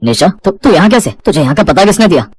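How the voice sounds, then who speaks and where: Selfish young city husband, voiced by a man: very quick delivery, evasive, cold, dry studio sound. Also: high-pitched, sing-song, husky, dry recording, heavily acted. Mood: startled. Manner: defensive, cold, some English words.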